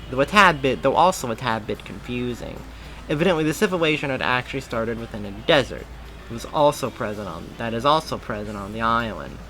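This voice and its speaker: A man narrates a story with a bright, sharp-edged voice at a middling pitch. He reads emphatically and sometimes trips on a phrase and repeats it. Faint music plays behind him. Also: rough recording. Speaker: narrator reading fan fiction aloud. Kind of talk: animated story reading.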